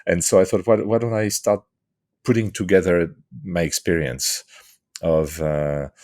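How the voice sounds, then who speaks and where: A middle-aged man from France with a French accent, answering interview questions thoughtfully with many pauses and restarts. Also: low voice, fairly even intonation, darkish tone, no echo, clean studio sound. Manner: hesitant, many fillers and restarts. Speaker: guest, author and leadership coach.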